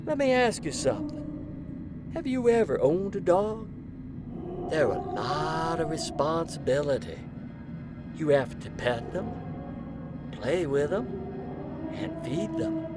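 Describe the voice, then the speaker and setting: An actor playing a sinister, smug hospital doctor in a horror audio drama, talking with condescending, mock-patient menace. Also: male voice, on the high side, wildly swooping pitch, slow, pauses often, over loud music, exaggerated voice. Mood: calm, gloating.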